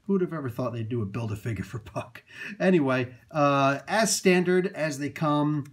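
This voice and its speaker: A man's voice: fairly high pitch, moderate pace. A man, off camera and filming toys with a handheld phone, rambling through a casual review with many fillers, restarts and chuckles. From Italian heritage. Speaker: toy reviewer. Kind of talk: casual unboxing commentary. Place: indoors at a table, off camera.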